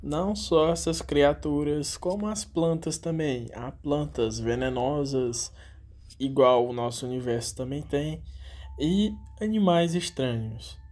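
A man's voice: a little high, a little slow, darkish tone. A Brazilian man hosting an online video, narrating an informal video essay on horror series. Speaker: YouTube host.

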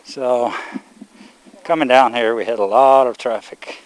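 A man's voice: medium pitch, unhurried, low-quality recording. An older man from Sweden, strained as he loses his voice, chatting casually to viewers while walking a rural road and filming on a handheld camera. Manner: relaxed, rambling, talks to viewers while walking. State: losing his voice. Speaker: vlogger. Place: outdoors on a rural road among rice fields. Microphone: handheld camera on a selfie stick.

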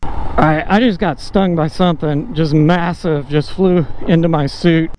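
A man talking into a helmet mic while riding on a windy day, loud and exclaiming. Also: fairly high pitch, steady tone, measured pace. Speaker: motovlogger on the road. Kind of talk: casual helmet-cam commentary.

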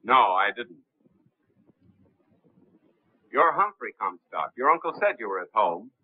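A composed, quick-thinking gentleman detective in a 1930s film. His delivery is very animated, with a dry, close sound and the narrow band of an old soundtrack. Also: on the low side, husky, no echo. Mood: cool, composed.

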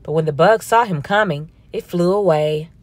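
A woman slowly reading a children's picture book aloud in a gentle storytelling manner. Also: on the low side, dry recording. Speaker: storybook reader. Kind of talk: children's read-aloud narration.

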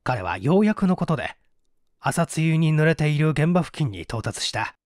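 A man narrating a detective story as an audiobook, with theatrical, deliberate phrasing. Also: a little high, sing-song, dry recording, clean studio sound. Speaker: audiobook narrator, stage actor.

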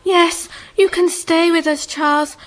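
An actress playing a serene, alluring moon-dwelling woman in a radio drama, speaking with measured, solemn assurance. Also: very high voice, steady tone, a little hiss or hum. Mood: serene, inviting.

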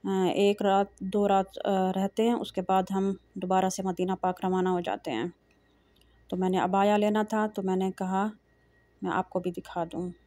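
Soft-spoken middle-aged woman vlogging. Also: medium pitch, no room sound. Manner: calm, soft-spoken, frequent hesitations and pious phrases. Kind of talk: casual vlog narration. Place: large indoor shopping mall. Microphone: phone camera held while walking.

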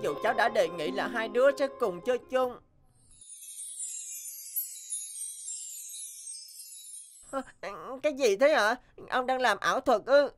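A woman voicing an earnest, easily indignant schoolboy in a cartoon, expressive and plaintive. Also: on the high side, brisk, close to an everyday voice. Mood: puzzled, uneasy. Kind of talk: animated cartoon dialogue. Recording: background music under dialogue.

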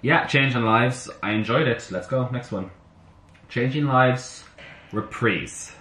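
A slightly hoarse young man chatting excitably to camera in his bedroom, talking to himself as he reacts to music. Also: medium pitch, takes it slowly. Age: young adult. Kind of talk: casual reaction chat. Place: bedroom at home, indoors. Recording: roomy bedroom with faint music. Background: soundtrack music playing faintly.

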